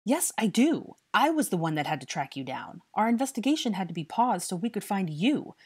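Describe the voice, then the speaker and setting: A woman's voice: on the low side, lively intonation, normal speed, a little mellow, slightly rough. A woman voicing a stern, furious young male investigator who scolds and interrogates with exasperated force in an audiobook story. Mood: heated, berating.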